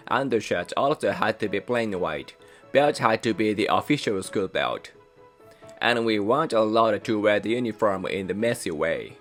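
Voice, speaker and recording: A young man from Japan, a native Japanese speaker with a Japanese accent, hosting his channel in measured, careful scripted narration. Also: on the low side. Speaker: channel host. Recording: soft music behind voice.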